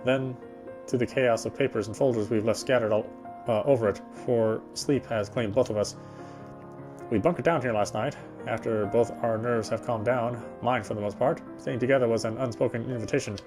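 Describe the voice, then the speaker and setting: A man reading the first-person narration of a horror visual novel in a measured, brooding way. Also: medium pitch, fairly even intonation, over music. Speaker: reader.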